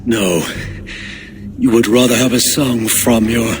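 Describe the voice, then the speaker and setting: An actor playing a defiant, taunting pagan cult leader posing as an abbot in a video game, sermonizing slyly. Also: male voice, medium pitch, steady tone, slow pace, music in the background, lightly acted. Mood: mocking defiance. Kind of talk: dramatic video game cutscene acting. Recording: long echoing hall, faint background noise.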